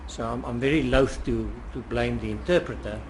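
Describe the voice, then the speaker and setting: A man with a South African accent questioning a witness in court in a probing, deliberate way. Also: mid-range voice, fairly even intonation. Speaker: lawyer cross-examining a witness. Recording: noisy, ambient courtroom recording.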